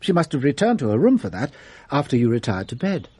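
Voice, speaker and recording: A man's voice: medium pitch, big pitch swings, fast talker, darkish tone, slightly rough, no room sound. A man playing a shrewd detective in a radio drama, questioning in a probing, dryly sardonic way. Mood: wry. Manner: probing, wry.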